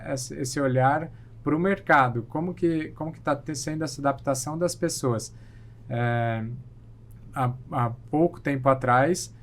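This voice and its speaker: Man, Brazilian accent, podcast guest, medium pitch, halting, thoughtful. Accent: Brazilian Portuguese. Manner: thoughtful, hesitant, many restarts and fillers. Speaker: guest, plastic surgeon. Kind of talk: interview answers.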